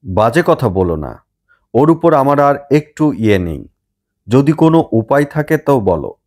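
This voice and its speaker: A man's voice: medium pitch, big pitch swings, a little slow, darkish tone, pitched above the performer's own voice. A man voicing a weary, pleading young married woman in an audiobook story. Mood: teasing, peeved.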